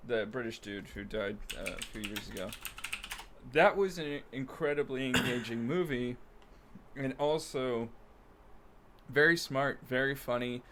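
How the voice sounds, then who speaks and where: A man's voice: medium pitch, unhurried, background noise. A man co-hosting a podcast, chatting casually and thinking aloud about games and films. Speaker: podcast co-host.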